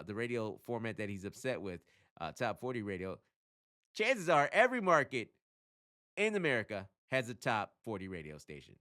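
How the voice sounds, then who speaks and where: A man hosting his own channel, talking casually and emphatically as he explains things, often throwing in rhetorical questions and quick check-ins. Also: medium pitch, a bit bright. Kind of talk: casual explainer talk to camera.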